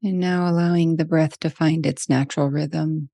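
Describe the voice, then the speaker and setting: Female meditation guide, soft-edged mellow tone, gentle spaced phrasing, close dry sound. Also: low-pitched, fairly even intonation, creaky, dry recording. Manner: slow, gentle pauses between short phrases.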